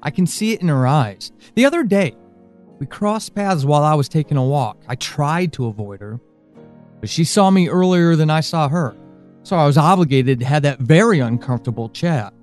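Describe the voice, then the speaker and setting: A man playing a worried, frustrated friend in an audio drama monologue, speaking haltingly and intensely. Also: a little high, animated, a little mellow, soft background music, lightly acted. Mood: worried, resigned.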